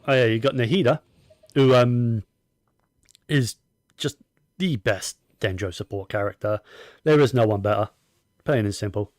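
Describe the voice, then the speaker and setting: A man's voice: medium pitch, normal speed, pauses often, a little mellow, dry recording, clean studio sound. A man giving casual gameplay commentary, rambling and opinionated, often talking to himself as he plays. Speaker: gaming streamer.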